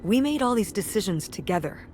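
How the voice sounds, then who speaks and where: A woman's voice: medium pitch, very animated, fairly quick, a little mellow, faint music behind, natural voice. A woman playing a weary, exasperated middle-aged mother in a video game, speaking naturalistically and defensively, with some hesitation. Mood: bitter, weary. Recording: clear background ambience noise.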